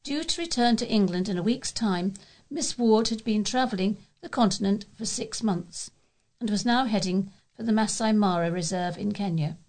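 A woman's voice: mid-range voice, normal speed, darkish tone. A woman reading scripted local news as a volunteer newsreader.

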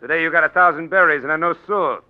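An actor playing a tough, bullying big-city political boss in 1940s radio style, gruff and sardonic, talking in gangster slang. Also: male voice, a little high, fast, lightly acted. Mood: sardonic, commanding. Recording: dull 1940s radio recording.

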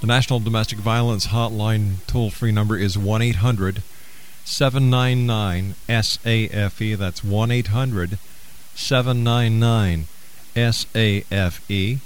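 Low-voiced male radio host. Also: fairly even intonation, a little slow, a little hiss or hum. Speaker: radio talk show host. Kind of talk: radio interview and show announcements.

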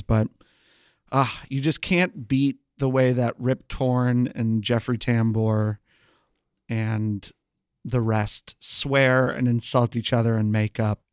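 A man's voice: mid-range voice, no echo, clean studio sound. A man co-hosting a podcast, chatting casually about television. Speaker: podcast co-host. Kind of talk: casual TV review podcast chat.

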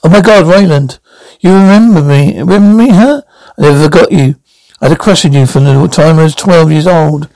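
A man reading the lines of a frank young woman from a small town, talking in quick rushes and restarting words. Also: on the high side, gravelly, a little mellow, no room sound, low-quality recording.

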